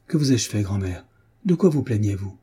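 A devoted young granddaughter as read by a man, hurt and earnestly pleading. The voice is very dark and husky, asking its questions in the manner of a storybook dialogue. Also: lowish voice, lively intonation, normal speed. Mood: hurt, questioning. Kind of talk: audiobook character voice.

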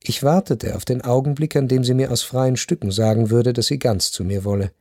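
An actor playing a reflective, wounded husband who narrates a literary radio play in a measured, restrained way. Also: male voice, mid-range voice, fairly even intonation, a little mellow, no room sound, studio quality, lightly acted. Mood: wistful, restrained. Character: reflective, wounded husband recounting his past. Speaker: first-person narrator.

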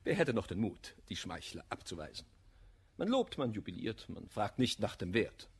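An actor playing a proud, blunt misanthropic gentleman in a classic verse radio comedy, declaiming with emphatic scorn. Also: fairly low pitch, sing-song, normal speed, slightly rough, full character voice. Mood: scornful.

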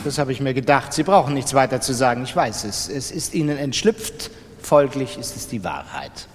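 An actor playing a stern gentleman in a literary radio drama, reproaching a gambler in an insistent, deliberate, rhetorical way. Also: mid-range voice, animated, natural voice. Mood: probing, knowing. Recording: reverberant hall.

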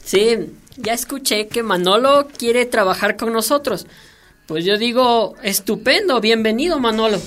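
An actress playing a principled, unflappable community radio coordinator, wry and firm, in a radio drama. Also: female voice, fairly high pitch, expressive, measured pace, fairly bright tone. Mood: welcoming, easygoing.

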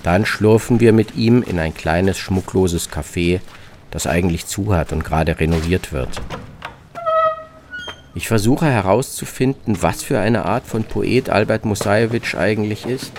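A man reads a scripted, literary radio travelogue in a measured, reflective manner with clipped descriptive phrases. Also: fairly low pitch, a little mellow, a little hiss or hum. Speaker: reporter and narrator of a radio travel feature.

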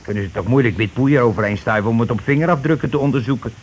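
An actor playing a scheming, money-grabbing husband and landlord in a radio play, talking in wheedling, self-justifying colloquial patter. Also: male voice, mid-range voice, racing, natural voice. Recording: noisy old radio drama recording.

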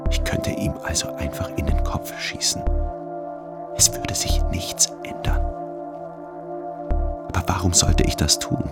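Radio drama narrator: detached, ruminating man, very low and creaky. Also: fairly even intonation, over loud music. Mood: cold, brooding. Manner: sober, introspective, deliberate. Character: brooding, detached first-person male narrator, coldly ruminating. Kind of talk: scripted first-person radio drama narration.

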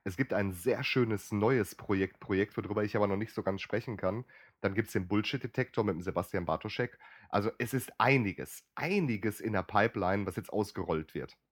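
A man from the Ruhr area, a podcaster guest chatting casually, rambling and self-mocking, a bit hoarse, often clearing his throat. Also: on the low side, a little fast, dry recording, studio quality. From Ruhr area, Germany. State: slightly hoarse. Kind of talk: casual chat.

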